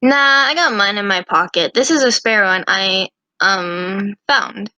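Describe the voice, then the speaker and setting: A woman's voice: medium pitch, measured pace, sharp tone, dry recording, lo-fi sound. A woman voicing a plucky, mischievous young student huntress with a breezy, slangy, teasing manner in an amateur visual novel.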